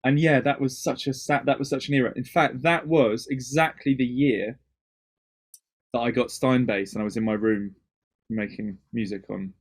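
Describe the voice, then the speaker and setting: A man from Wales, a DJ and producer, chatting as a guest in an interview, talking in quick bursts with many restarts. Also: medium pitch, fairly even intonation. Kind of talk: casual interview chat.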